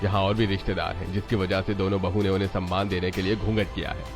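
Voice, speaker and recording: Man playing a firm, low-voiced father-in-law. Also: flat delivery, fast, over music. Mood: reproving. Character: principled middle-aged father-in-law, firm and traditional.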